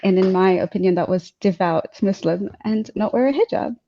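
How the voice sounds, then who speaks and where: A young woman from Tanzania speaks on a video call with a mellow, darkish tone. She talks hesitantly, full of fillers and restarts. The call audio is dull and lo-fi. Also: medium pitch, moderate pace, slight background noise. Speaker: guest speaker. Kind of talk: casual webinar interview.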